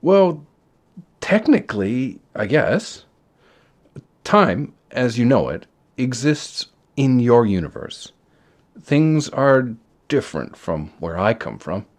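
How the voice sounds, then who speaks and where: A kindly, ageless God of a storybook, acted by a man. He talks slowly in a mid-pitched, very expressive voice, offhand and reassuring about the biggest matters. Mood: kindly, explaining. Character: casual, matter-of-fact, kindly God, ageless authority figure. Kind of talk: storybook character voice.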